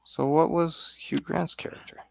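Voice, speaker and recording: A man from Ireland in a casual review chat, giving terse, deadpan replies as co-host. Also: a little high, normal speed, vocal fry, no echo, low-quality recording. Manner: terse, deadpan, dry one-word answers. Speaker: co-host of a film review show.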